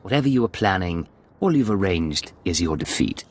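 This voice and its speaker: An actor playing a cool, hard imperial secret agent in a video game. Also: male voice, low-pitched, lively intonation, moderate pace. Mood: challenging. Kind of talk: video game drama acting.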